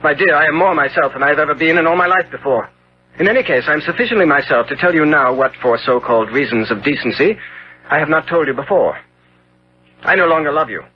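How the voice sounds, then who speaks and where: An actor playing a cold, arrogant, selfish young gentleman in a theatrical, mocking manner, in a 1970s radio drama. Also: a little high, lively intonation, quick pace, husky. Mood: cold, cruel. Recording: muffled, humming old radio broadcast.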